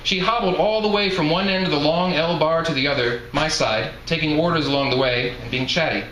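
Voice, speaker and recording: A man's voice: a little high, brisk, bright, forceful tone. A man reading first-person literary narration aloud from his own novel as its author. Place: live reading event indoors. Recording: echoey room, faint background noise.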